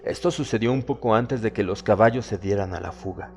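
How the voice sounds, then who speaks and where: A man narrating a horror audiobook in a solemn, measured, dramatic storytelling manner. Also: lowish voice, darkish tone. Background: background music.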